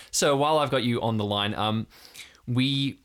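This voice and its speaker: A man's voice: medium pitch, measured pace, a bit bright, a little hiss or hum, close to an everyday voice. A man playing a flustered, apologetic, deferential police officer who stammers and hesitates in an improvised audio drama. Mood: nervous, deferential.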